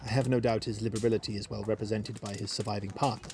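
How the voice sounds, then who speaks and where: An actor playing a polite, earnest Victorian gentleman collecting for charity, speaking formally in an audio drama. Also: on the low side, level tone, quick pace, slight background noise, close to an everyday voice. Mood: polite, hopeful. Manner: formal, courteous.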